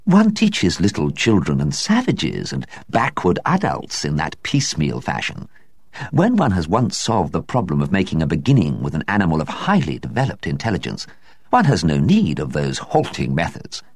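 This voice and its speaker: Man reading as a pompous gentleman scientist, patient, lecturing, lively pitch, low voice, dry. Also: moderate pace, no room sound, exaggerated voice. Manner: patient, lecturing, self-satisfied. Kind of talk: storybook reading.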